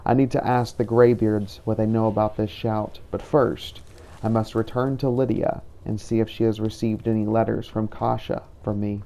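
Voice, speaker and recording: A man voicing a brooding vampire hunter who narrates his journal in a solemn, measured way for a fantasy game roleplay. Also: on the low side, level tone, creaky, warm tone. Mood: pensive, anxious.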